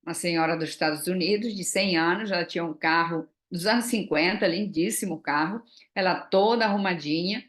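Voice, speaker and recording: A woman's voice: fairly low pitch, a little fast, few pauses. Chatty woman in her sixties. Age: 60s. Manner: chatty, explanatory, many fillers and tag questions. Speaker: vlogger. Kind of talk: casual chat.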